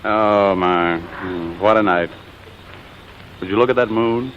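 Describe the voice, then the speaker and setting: Unhurried scheming charmer on old radio. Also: male voice, lowish voice, slight background noise. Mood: coaxing. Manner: coaxing, wisecracking. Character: smooth, scheming male charmer wooing his old flame. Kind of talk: 1940s radio romantic comedy acting. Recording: dull vintage radio recording, music behind.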